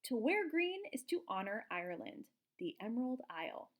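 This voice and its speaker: A woman reading a picture book aloud to young children in an expressive, explanatory way, like a teacher. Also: fairly high pitch, moderate pace. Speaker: children's storybook reader, teacher.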